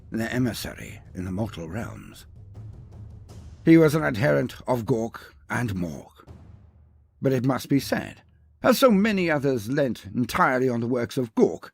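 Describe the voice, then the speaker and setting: A man's voice: medium pitch, vocal fry, slightly rough. A man narrating a scripted mock-epic fantasy tale in a grandiose, theatrical manner with ornate delivery. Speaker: storyteller, narrator.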